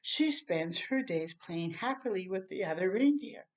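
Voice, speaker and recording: A woman reading a children's story aloud expressively, with animated asides and questions to young listeners. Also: medium pitch, unhurried.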